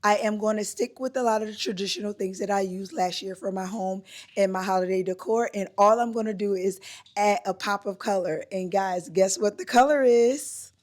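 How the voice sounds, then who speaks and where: A woman vlogging casually from her home, her voice still hoarse from a recent cold, chatty and upbeat with frequent "like" and "um". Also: mid-range voice, moderate pace, darkish tone. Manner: chatty, upbeat, says "like" and "um" often. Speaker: lifestyle vlogger. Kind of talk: casual chat to camera. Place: at home, indoors.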